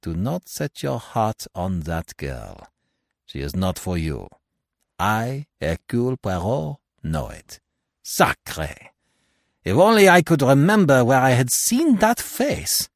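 A man voicing an older, self-assured Belgian male detective with a French-speaking Belgian accent and a gently ironic, emphatic, avuncular manner, in an audiobook. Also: mid-range voice, big pitch swings, takes it slowly, no room sound, studio quality, pitched above the performer's own voice, heavily acted. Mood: teasing, firm. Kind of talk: audiobook character voice.

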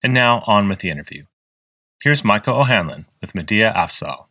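A man reading a scripted show announcement in a brisk, promotional way, close to a studio mic. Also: lowish voice, lively intonation, pushed and bright, no room sound. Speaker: podcast announcer.

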